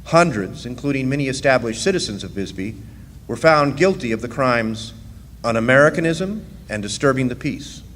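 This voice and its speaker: A man's voice: medium pitch, lively intonation, moderate pace, a bit bright, lightly acted. An actor playing a wry rail-riding drifter who looks back on his past as narrator of a radio play. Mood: wry, reflective. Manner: storytelling, dryly wry. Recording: live hall reverb, faint background noise.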